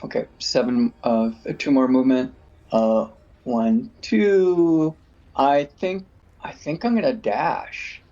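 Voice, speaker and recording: A man's voice: mid-range voice, takes it slowly, mellow. A man playing in a tabletop game, thinking aloud in a hesitant, casual way. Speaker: player. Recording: muffled voice call audio.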